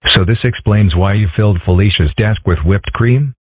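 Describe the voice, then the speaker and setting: A man voicing a mild, thoughtful, bookish schoolboy in a comic strip dub, measured, polite and dryly deadpan. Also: lowish voice, slightly rough, no echo. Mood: calm, dry. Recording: muffled, phone-like narrow sound.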